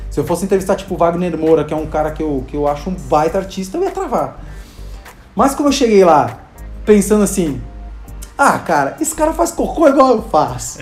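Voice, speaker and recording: A man's voice: high voice, very animated, a little fast, roomy sound, soft background music. A Brazilian man, a video creator and hospital clown, telling stories in a casual chat with many fillers and acted-out quotes. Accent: Brazilian Portuguese. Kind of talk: casual conversational storytelling.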